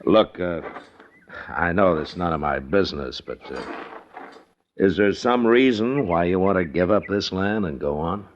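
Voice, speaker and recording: A deep, measured American lawman of the old West. He is plain-spoken, calm and courteous. The old radio drama recording is muffled, with a little noise. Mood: calm, persuasive. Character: grown man, calm plain-spoken frontier US marshal. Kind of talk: old-time radio western acting.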